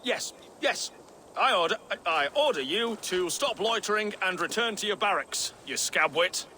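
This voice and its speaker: An actor playing a cowardly, wordy impostor lord in a video game, fussy and blustering with a nervous stutter. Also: male voice, high-pitched, lively intonation, moderate pace, sharp tone, a little hiss or hum, heavily acted. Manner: fussy, florid wording, blustering. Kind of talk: video game character acting.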